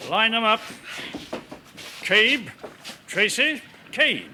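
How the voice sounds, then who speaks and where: An English actor playing a brisk, smug senior police commander in a British radio drama. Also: male voice, sits high, sing-song, pushed and bright, a little hiss or hum, full character voice. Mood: barking, satisfied.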